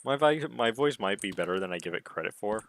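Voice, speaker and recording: Animated man rambling on a gaming stream. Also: fairly quick. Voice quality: somewhat deep voice. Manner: rambling, self-musing talk. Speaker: gaming livestreamer. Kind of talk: casual solo gaming stream chat.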